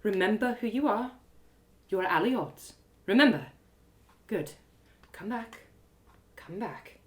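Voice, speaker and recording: A woman voicing a solemn, gentle elf mentor in a one-woman audio drama, speaking in a measured, earnest, instructive way. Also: medium pitch, expressive, close to an everyday voice. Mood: soothing, guiding.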